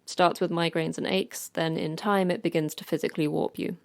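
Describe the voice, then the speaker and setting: A woman acting as a terse station manager from a sci-fi horror podcast. Her voice sits low with a heavy vocal fry. She instructs like someone used to command, over faint background noise. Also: fairly even intonation, a little fast. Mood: grave, instructive. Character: terse, stern female manager of secret Antarctic facility. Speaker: station manager of secret facility.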